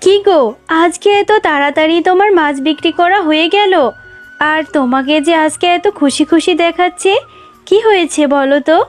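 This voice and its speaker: Fox mom in a kids cartoon, high voice, caring but no nonsense, homely, music behind. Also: female voice, moderate pace. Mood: pleased. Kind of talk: Bengali children's cartoon dialogue.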